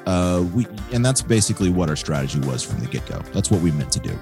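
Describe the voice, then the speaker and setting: An American man hosting a podcast in casual, slangy chat, with a husky voice and a laid-back manner. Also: sits low, big pitch swings, quick pace, over music. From United States. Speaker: podcast host. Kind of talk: casual chat about motor racing.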